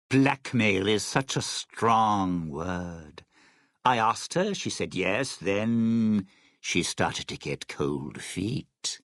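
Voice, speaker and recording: Sly male game schemer, gravelly fry, unhurried delivery, wry and smooth-talking, bitter, theatrical. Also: fairly low pitch, dry recording, studio quality, full character voice. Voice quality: raspy, gravelly. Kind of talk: video game character dialogue.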